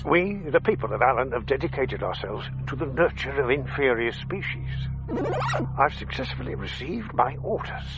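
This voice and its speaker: A man's voice: mid-range voice, animated, close to an everyday voice. Man playing an earnest older alien dad, muffled. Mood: earnest, matter-of-fact. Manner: formal, measured report-giving with dry comic asides. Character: earnest alien agent posing as an ordinary human dad. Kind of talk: radio comedy drama monologue. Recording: dull band-limited recorded message over music.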